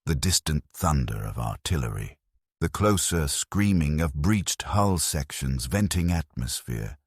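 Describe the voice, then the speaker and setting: A man's voice: a bass voice, slightly rough, dry recording, clean studio sound. A man reading a cinematic first-person story in a grave, solemn manner as a narrator.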